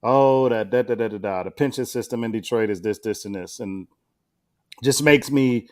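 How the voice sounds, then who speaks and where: Laid-back low-voiced man chatting. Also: normal speed. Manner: laid-back, slangy, lots of fillers. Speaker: podcast co-host. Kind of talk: casual podcast discussion.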